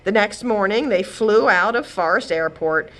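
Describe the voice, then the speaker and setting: A woman reading a children's chapter book aloud as a storyteller, with expressive delivery. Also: mid-range voice, moderate pace, poor audio. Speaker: librarian host and storyteller. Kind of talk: children's read-aloud narration.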